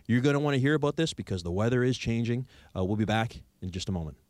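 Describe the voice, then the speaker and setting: A man's voice: medium pitch, animated, quick pace, a little mellow, dry recording. A man with a Canadian accent hosting a radio show in a conversational interview style. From Victoria, Canada.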